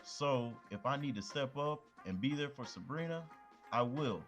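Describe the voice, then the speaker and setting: A man's voice: mid-range voice, animated, moderate pace, soft background music, lightly acted. A man playing a cheeky young best friend who loves food and talks in loose, slangy banter. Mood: mock-serious. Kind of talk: amateur audio drama acting.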